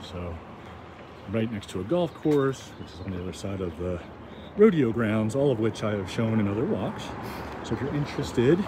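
A man narrating casually while walking through town outdoors, rambling and halting with many ums and restarts. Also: lowish voice, expressive. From United States. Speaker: walking tour vlogger. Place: outdoors on small-town streets. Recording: outdoor street noise and wind. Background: street ambience, passing vehicles.

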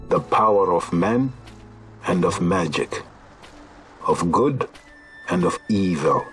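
An actor playing a solemn, aged chief of a horned beast tribe in a video game, with grave, archaic, oracular phrasing. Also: male voice, low-pitched, fairly bright tone. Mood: ominous, foreboding. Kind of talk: dramatic video game voice acting.

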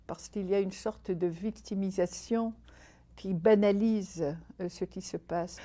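A woman from Algeria whose mother tongue is Arabic talks through her thoughts in an indoor interview. Her voice is soft and dark in tone, and she picks each phrase slowly, pausing and starting over as she goes. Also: medium pitch. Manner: thoughtful, measured, many pauses and restarts. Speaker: writer interviewed. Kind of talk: reflective interview talk. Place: indoor room by a window. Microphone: unseen, seated interview framing.

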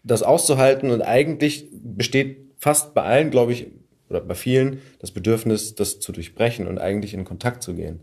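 A man in his thirties chats as a podcast host. His voice is medium in pitch, and his delivery is casual and conversational, as in an informal interview. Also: brisk, vocal fry, a little mellow, husky.

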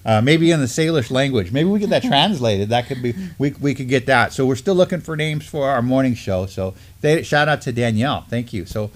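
A middle-aged man with a medium-pitched voice co-hosts a morning live stream. His delivery is conversational and loose, often halting and rambling as he chats informally at the studio desk. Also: expressive. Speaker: morning show co-host. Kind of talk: casual chat on a news live stream. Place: indoor studio desk in front of a backdrop.

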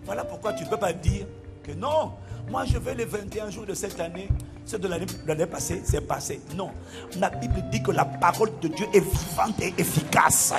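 A middle-aged man preaches from a church stage into a handheld mic, his voice sitting high for a man. He tells stories animatedly, breaking into loud exclamations. Music plays clearly behind him. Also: moderate pace. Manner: animated, exclaiming, bursts into shouting and laughter. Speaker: pastor. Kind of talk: sermon reading out testimonies. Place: large church auditorium with a crowd.

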